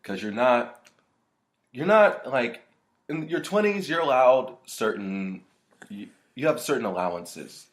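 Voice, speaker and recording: A man's voice: medium pitch, a little slow. A young man in his twenties chatting on a podcast. He talks in a loose, joking way, often laughing. He breaks into silly put-on voices to tease his friend. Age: 20s. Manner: animated, laughs often, playful mock voices. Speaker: podcast co-host. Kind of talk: casual chat.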